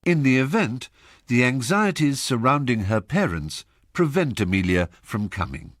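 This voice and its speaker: An actor narrating a radio drama as a measured, ironic storyteller. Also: male voice, mid-range voice, very animated, dry recording, clean studio sound. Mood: wry. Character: wry, sardonic male storyteller commenting on society.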